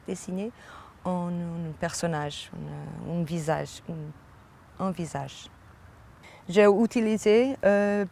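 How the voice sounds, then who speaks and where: A visiting artist from Portugal with a Portuguese accent, describing their work in a second language and pausing often to search for words. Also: medium pitch, darkish tone, a little hiss or hum.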